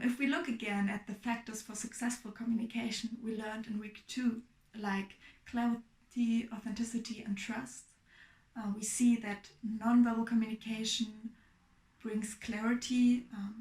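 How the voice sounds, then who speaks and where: A young woman from Germany giving a clear, explanatory class presentation as a student. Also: fairly high pitch, level tone, a little mellow, echoey room, rough recording. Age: young adult. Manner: clear, explanatory, measured with fillers.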